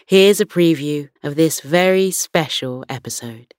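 A woman reading a scripted children's bedtime story in a gentle, unhurried storytelling manner. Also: sits low, no room sound, studio quality. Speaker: podcast host and bedtime story narrator.

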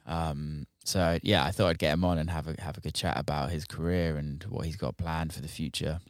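Low-voiced podcast host, dry sound. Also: male voice, steady tone, fairly quick, no echo. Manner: friendly, filler-heavy, says "man" and "yeah" a lot. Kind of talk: casual interview chat.

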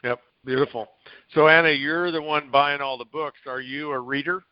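A man's voice: on the high side, fairly even intonation, moderate pace, clear, resonant tone, dry recording. Man hosting on a dry, muffled call. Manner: encouraging, coaching tone addressed to listeners. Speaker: podcast host, interviewer. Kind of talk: casual interview chat. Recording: dry, dull lo-fi call audio.

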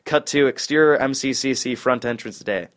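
A man's voice: mid-range voice, normal speed. A man narrating stage directions at a comedy table read in a dry, clipped way.

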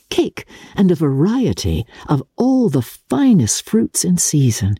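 A woman narrates classic literature with a mellow, husky voice and formal, measured phrasing. Her pitch rises and falls in a lively, dramatic way. The sound is close and dry, suited to storytelling. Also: no echo. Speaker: audiobook narrator.

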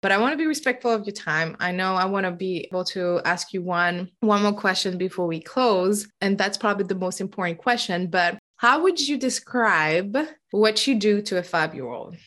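A woman's voice: mid-range voice, normal speed, few pauses, a bit bright. Woman host, French first language, chatty and upbeat, casual interview. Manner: chatty, enthusiastic, many fillers. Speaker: podcast host.

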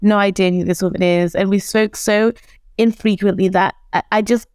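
A young woman from Zimbabwe whose first language is Shona, talking as a guest in an animated, casual interview and acting out the voices she quotes. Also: medium pitch, normal speed, clean tone, no room sound, clean studio sound.

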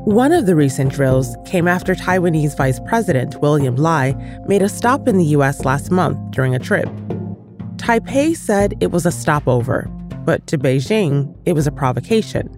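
Casting: female news podcast host, low voice, conversational narration over music. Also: animated, normal speed. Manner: clear, conversational questioning.

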